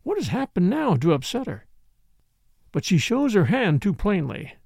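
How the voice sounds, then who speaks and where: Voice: mid-range voice, very animated, moderate pace, vocal fry, a little mellow, no room sound, studio quality. A reader voicing a suave, shrewd French count in an audiobook story, languid, polite and ironic. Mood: shrewd, musing.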